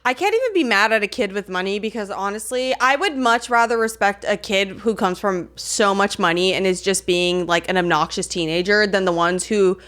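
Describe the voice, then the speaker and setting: Young woman co-hosting a podcast, playful and slangy, mid pitch. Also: a little fast, few pauses, a bit bright. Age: young adult. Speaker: podcast co-host. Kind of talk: casual chat.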